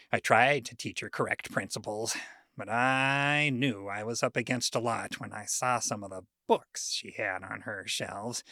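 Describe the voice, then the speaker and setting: A man's voice: mid-range voice, bright, forceful tone, no room sound, studio quality. Man putting on a gossipy, swooping old church lady. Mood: prim, self-righteous. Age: older. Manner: folksy, disapproving storytelling. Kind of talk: comic reading of a mock oral history.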